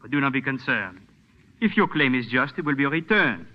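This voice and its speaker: An actor playing an officious French police inspector in 1940s radio drama, formal, terse and politely menacing. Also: male voice, medium pitch, very animated, brisk. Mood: coldly formal. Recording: muffled old radio broadcast.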